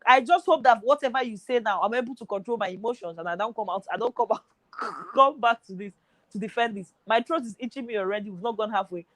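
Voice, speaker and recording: A woman's voice: a little high, quick pace, no room sound, rough recording. A woman on a studio panel arguing her view of a football match emphatically. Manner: emphatic, argumentative. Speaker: football pundit.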